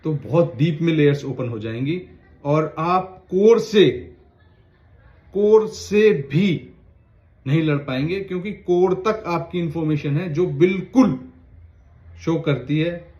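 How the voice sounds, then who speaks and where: A fairly high-voiced man speaks earnestly to the camera as a lawyer, pleading and then warning. The room sounds echoey, and the recording is dull and lo-fi. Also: takes it slowly, pauses often, warm tone. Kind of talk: direct-to-camera appeal.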